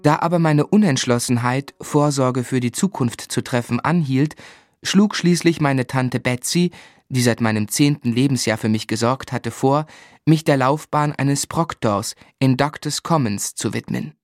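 A man's voice: mid-range voice, a little slow, dry recording, studio quality, lightly acted. An actor playing an earnest young man who tells his life in articulate literary German radio drama style. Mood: reflective.

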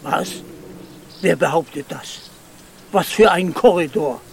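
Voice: mid-range voice, slow, creaky, a little hiss or hum. An actor playing a bitter, scornful old villager who mutters fatalistically in a radio drama. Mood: bitter, fatalistic.

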